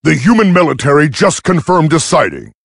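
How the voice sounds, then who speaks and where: An actor playing a gruff robot medic soldier in a video game, with a raspy voice and a dry, urgent delivery. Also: male voice, on the high side, very animated, a little fast, fairly bright tone, no echo, heavily acted. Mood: grave, businesslike. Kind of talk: scripted video game battle dialogue.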